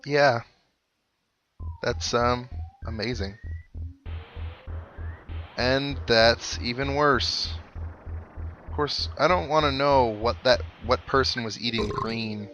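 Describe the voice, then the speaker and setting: A man's voice: mid-range voice, slow pace, long pauses, a bit bright. A man giving casual, chatty video game commentary with wry asides as he plays. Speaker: let's play host. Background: video game music.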